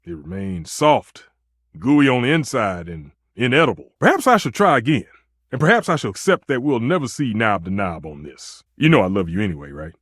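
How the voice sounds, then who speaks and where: Give this one to a proud, food-obsessed stove who thinks like a master chef. His voice is slightly husky and sits a little high for a man, leaping wildly up and down. He speaks in pompous, flowery phrases and flares into exasperation, all in a close, dry video game sound. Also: very animated, fairly quick, dry recording. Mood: wounded, grandiose. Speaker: voice actor in a dating simulator game. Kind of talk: theatrical video game character acting.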